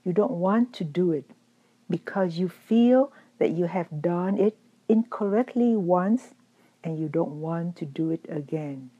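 A woman teacher giving a calm, measured dharma talk, her voice still recovering after being lost. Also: on the low side, slightly rough, lo-fi sound. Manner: calm, measured, gently explanatory. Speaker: Buddhist teacher. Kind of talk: slow reflective dharma talk.

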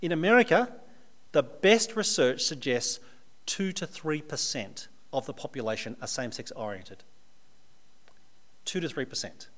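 A man's voice: medium pitch, animated, moderate pace, long pauses, a bit bright. A man with an Australian accent, preaching a sermon to a live audience in a conversational way, with dry humorous asides.

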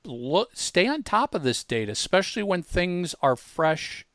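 Man giving an informal software tutorial. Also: high voice, very animated, a little slow, dry recording, clean studio sound. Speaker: presenter demonstrating software.

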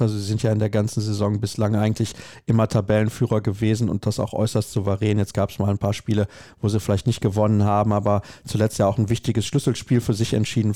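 A male podcast host with a mellow, warm tone and a dry, close sound. He talks in a conversational way, often doubling words for emphasis. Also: on the low side, dry recording. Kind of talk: interview questions and commentary.

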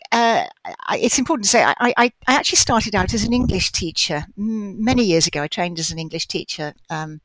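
A woman's voice: mid-range voice, dry recording, rough recording. An older woman from Wales, a guest in a podcast interview, chatty and self-deprecating, often stammering and restarting her phrases. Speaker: guest, medical educator.

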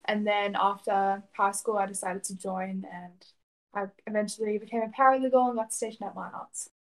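Woman, twenties, from South Africa, Afrikaans native, flat even delivery, interview guest. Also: medium pitch, moderate pace, poor audio. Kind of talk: conversational interview answers.

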